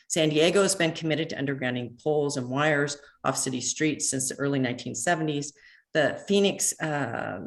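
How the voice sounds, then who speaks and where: A woman, president of a neighborhood association, reading an earnest public comment over a phone line, measured with a few halting restarts. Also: deep voice. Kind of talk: prepared public comment read aloud. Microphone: phone call into online meeting.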